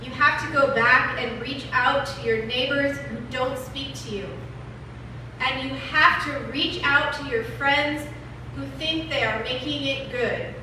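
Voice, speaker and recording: Rally orator, veteran activist played by a woman: determined, high-pitched, deliberate delivery, recorded from a distance. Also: pushed and bright. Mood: earnest, resolute. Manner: deliberate, emphatic, oratorical pauses. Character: veteran female civil rights organizer addressing a rally, determined. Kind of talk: dramatic reading of a rally speech. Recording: distant, very echoey room, faint noise.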